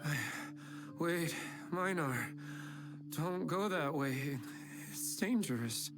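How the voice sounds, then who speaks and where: A slow, wistful widower type. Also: male voice, fairly high pitch, expressive. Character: lonely husband missing his wife, earnest. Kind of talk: game voice acting. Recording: game audio over loud music.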